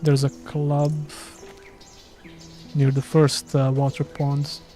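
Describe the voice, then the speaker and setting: Chatty Serbian-accented gaming streamer. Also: male voice, on the high side, completely flat delivery, slow, over music. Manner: chatty, self-deprecating, thinks aloud. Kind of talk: casual live gameplay commentary.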